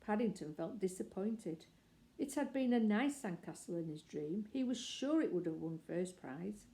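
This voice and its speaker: Woman narrator, mellow warm tone, gentle expressive children's storybook reading. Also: mid-range voice, normal speed. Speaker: storybook reader. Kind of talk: reading a children's chapter book aloud.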